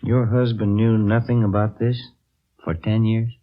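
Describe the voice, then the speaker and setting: An actor playing a blunt, matter-of-fact police detective in a 1950s radio crime drama. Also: male voice, on the low side, level tone, a little slow, close to an everyday voice. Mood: blunt, questioning. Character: blunt male police detective, pressing a suspect. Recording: dull vintage radio recording.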